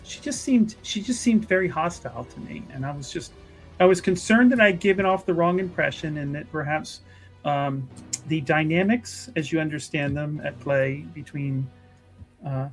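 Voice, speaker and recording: A man voicing a polite, effusive woman who serves as a church official, speaking in a halting, genteel way. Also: on the high side, soft background music, lightly acted, rough recording. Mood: concerned, polite.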